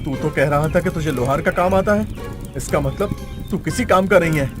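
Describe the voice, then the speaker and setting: A man's voice: fairly high pitch, a little fast, music in the background, lightly acted. A man voicing a plain-spoken, easily exasperated villager in a cartoon story, explaining himself earnestly.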